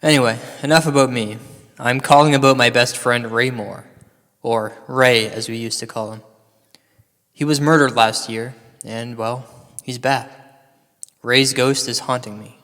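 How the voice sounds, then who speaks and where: A man's voice: mid-range voice, steady tone, measured pace, a bit bright, big-room sound. A man playing an earnest, self-doubting paranormal investigator in a comedy radio drama, speaking in a hesitant ramble. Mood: troubled, desperate.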